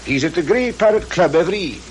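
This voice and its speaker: An actor playing a helpful, deferential police sergeant in old-fashioned radio drama style. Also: male voice, sits high, animated, very fast, a little hiss or hum.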